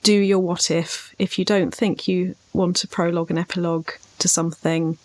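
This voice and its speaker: A woman's voice: on the low side, flat delivery, moderate pace, a little mellow, dry recording. A woman speaking as a writing coach on a podcast, giving advice conversationally.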